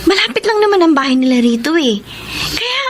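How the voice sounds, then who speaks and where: High-voiced earnest young housemaid. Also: female voice, big pitch swings, a little fast, a little hiss or hum. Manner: polite, earnest, hesitant. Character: earnest, respectful young housemaid, caring and timid. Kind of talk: Filipino radio drama acting.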